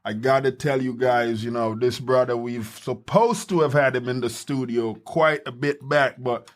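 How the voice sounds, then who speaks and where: A man hosting a podcast in a studio, talking casually and energetically, often repeating quick words of agreement. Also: medium pitch, steady tone. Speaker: podcast host. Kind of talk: casual chat.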